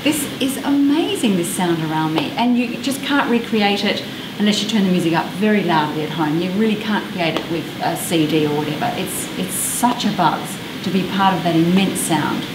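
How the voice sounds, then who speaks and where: An Australian woman from South Australia, an orchestral double bass player, talking reflectively and with enthusiasm in an interview. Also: normal speed. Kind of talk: casual interview. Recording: echoey room with background noise.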